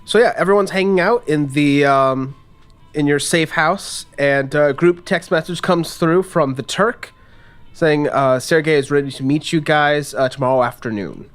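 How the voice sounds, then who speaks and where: A male game master narrates a tabletop game in a casual style. His voice is a little high for a man, with music playing softly behind. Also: moderate pace.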